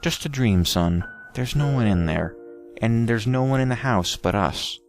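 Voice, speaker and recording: A man voicing a sleepy, concerned mother who gently reassures her young son in a narrated scary story. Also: medium pitch, expressive, normal speed, soft background music, close to an everyday voice. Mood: soothing.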